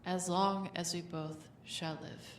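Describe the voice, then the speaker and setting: A woman playing a calm, formal royal wedding officiant in an audio drama, speaking in measured, solemn phrases. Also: on the low side. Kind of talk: audio roleplay drama acting. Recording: echoey, distant mic, faint noise.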